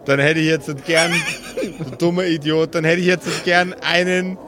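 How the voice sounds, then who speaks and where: A man with a slightly high voice serves as game master. He improvises narration for a tabletop role-playing game and puts questions to his players. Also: level tone, normal speed, a bit bright.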